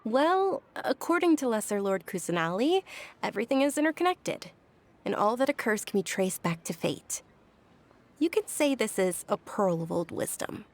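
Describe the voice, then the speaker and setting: A woman voicing a gentle, gracious young noblewoman in a video game, polite and softly explanatory. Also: mid-range voice, big pitch swings, moderate pace. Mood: thoughtful. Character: gentle, gracious young noblewoman, frail yet cheerful and devout. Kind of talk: video game character acting. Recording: music behind the voice.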